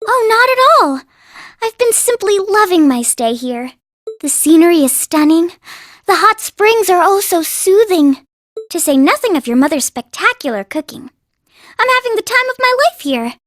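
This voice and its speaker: An actress playing a sweet, refined teenage princess in an anime game dub, with genteel, formal phrasing. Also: female voice, very high voice, big pitch swings, normal speed, a bit bright, no echo, clean studio sound, heavily acted. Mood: cheerful, playful. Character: sweet, poised teenage princess putting on a brave face.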